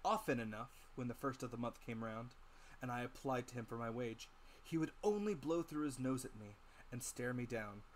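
A male narrator reads a storybook dramatically in a mid-pitched voice. There is clear noise behind him in the recording. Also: moderate pace.

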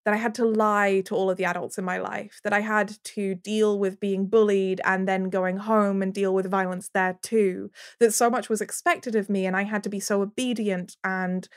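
A woman guest on a podcast speaking earnestly and personally, with emphatic piled-up lists of words. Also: mid-range voice.

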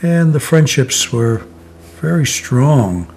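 An older man in his seventies from Connecticut, reminiscing slowly in a sit-down oral history interview, with many pauses and "uh" fillers. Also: mid-range voice, expressive, darkish tone, a little hiss or hum. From Connecticut, USA. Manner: slow, reflective, many "uh" pauses. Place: living room, seated in armchair.

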